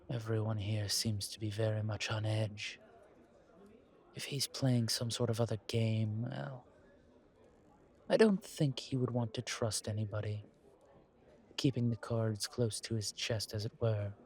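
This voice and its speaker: Slow, courtly vampire gentleman, acted by a man. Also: on the low side, steady tone. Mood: pensive, guarded. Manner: courtly, deliberate, pausing, sighing between phrases. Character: genteel, world-weary, wary old vampire survivor. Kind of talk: slow audio roleplay acting, addressed to listener.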